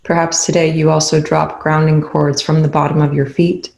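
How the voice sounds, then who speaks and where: A meditation instructor leading a guided session in a soothing, gentle, unhurried way with long pauses. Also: mid-range voice, little pitch movement, creaky, reverberant.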